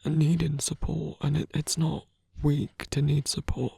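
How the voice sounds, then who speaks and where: A performer in an intimate ASMR roleplay, voicing a gentle, protective supernatural lover with a husky, breathy voice and a hesitant, earnest delivery. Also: medium pitch, flat delivery, measured pace, dry recording, clean studio sound, heavily acted. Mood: reassuring, hesitant.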